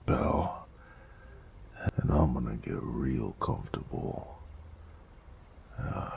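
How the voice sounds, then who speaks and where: Man acting as big noir gangster, very slow drawl, gravelly, affectionate, wisecracking, weary, intimate audio drama. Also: a little mellow, exaggerated voice. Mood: tired, relieved. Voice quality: deep, gravelly, breathy. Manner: slangy, drawling, sighing, teasing.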